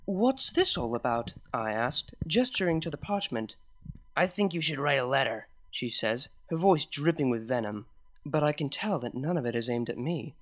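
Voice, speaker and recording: A man reading fanfiction aloud in an expressive, animated way, and speaking as host of his reading channel. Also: on the high side. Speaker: fanfiction reader. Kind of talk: story reading.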